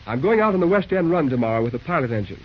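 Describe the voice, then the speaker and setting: A man's voice: a little high, sing-song, very fast, a little hiss or hum. An actor playing a brash, determined young American railroad man in a breezy, wisecracking old radio drama style. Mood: teasing, cocky. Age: young adult. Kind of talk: 1940s radio acting. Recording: muffled vintage radio recording.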